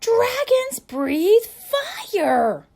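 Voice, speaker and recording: A woman's voice: very high voice, big pitch swings, very slow, vocal fry, voice pushed up, heavily acted. Woman as a stern, emphatic dragon father. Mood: outraged. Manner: emphatic, shouting. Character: stern, demanding father dragon, proud and loud.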